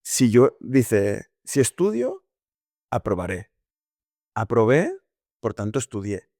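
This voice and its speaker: A man's voice: medium pitch, very animated, takes it slowly, darkish tone, dry recording, clean studio sound. A man co-hosting a casual podcast, explaining ideas step by step with hesitations and self-corrections. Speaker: podcast co-host.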